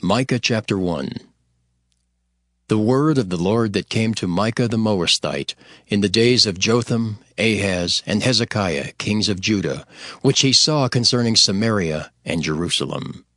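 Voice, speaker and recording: Male narrator, lowish pitch, close dry recording, scripted scripture announcements. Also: animated, measured pace, fairly bright tone, no room sound.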